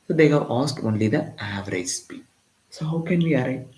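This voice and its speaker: A man's voice: mid-range voice, expressive, brisk, a little mellow, reverberant, rough recording. A man from Tamil Nadu with an Indian English accent, teaching a live physics lesson and often repeating lines and asking "got it?". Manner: repetitive, keeps checking in with "got it?". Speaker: physics tutor. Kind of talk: live-streamed exam-prep lesson.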